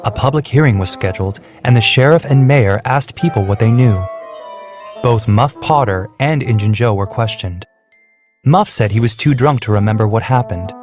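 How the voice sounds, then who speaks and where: A man narrating a scripted children's story in a clear, measured way. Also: on the low side. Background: music.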